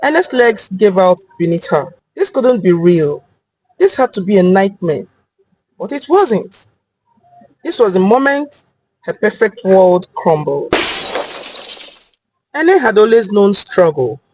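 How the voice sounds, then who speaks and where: Expressive woman storyteller, very lively pitch. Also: slow, long pauses, noisy background. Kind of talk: dramatic scripted story narration.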